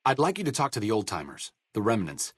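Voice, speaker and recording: An actor playing a bookish, idealistic doctor in a video game, speaking in an articulate, sardonic and understated way. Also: male voice, fairly low pitch, huge pitch swings, fast talker, slightly rough, no room sound, studio quality, heavily acted. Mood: earnest. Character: dry-witted, bookish male doctor and researcher, idealistic and self-deprecating. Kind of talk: video game dialogue acting.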